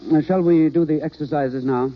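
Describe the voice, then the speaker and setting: A man's voice: on the high side, steady tone, moderate pace, close to an everyday voice. An actor playing an earnest, reserved male drama coach, formal and hesitant, then fervent, in vintage radio drama style. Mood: guarded, flustered. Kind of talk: 1930s-40s radio drama acting. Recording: dull old radio broadcast recording.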